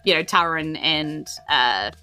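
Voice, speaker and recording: A woman's voice: low-pitched, lively intonation, slow pace, pushed and bright, smooth. A woman, casually narrating a tabletop game podcast as game master over music. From New Zealand.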